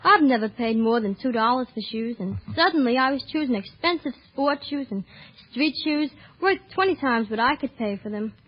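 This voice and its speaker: High-voiced teenage girl, 1930s radio guest. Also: animated, moderate pace. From New York City. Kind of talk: scripted 1930s radio interview. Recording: muffled old radio broadcast.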